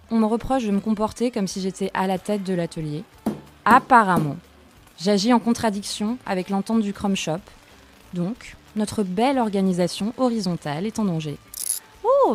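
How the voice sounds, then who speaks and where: A proud, overworked woman mechanic in an audio drama voiced by an actress. Medium in pitch, the voice is colloquial and aggrieved. Faint music sits behind it. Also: darkish tone, lightly acted. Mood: sarcastic, fed up. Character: proud, overworked, touchy female workshop mechanic. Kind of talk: French audio drama dialogue.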